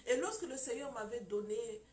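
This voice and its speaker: A woman's voice: on the high side, lively intonation, moderate pace. Woman, middle-aged, preaching testimony, impassioned, repetitive, roomy echo, faint background hum. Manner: impassioned, repeats phrases, rhetorical questions. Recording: echoey room with faint ambience.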